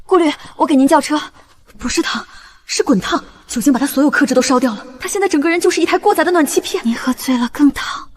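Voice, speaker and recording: A woman playing a smitten, easily flustered young law-firm worker, earnest and softly probing in acted drama dialogue and inner monologue. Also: high-pitched, fast talker, a little hiss or hum, close to an everyday voice. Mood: tender, smitten.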